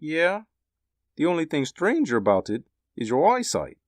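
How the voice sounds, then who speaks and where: A performer voicing a blunt, skeptical male police officer who talks in gruff slang in a radio drama. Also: mid-range voice, wildly swooping pitch, moderate pace, no echo. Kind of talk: hobby radio drama acting.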